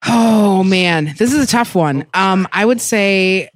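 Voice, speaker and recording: A woman's voice: mid-range voice, slow. A woman in a casual podcast chat, teasing the others.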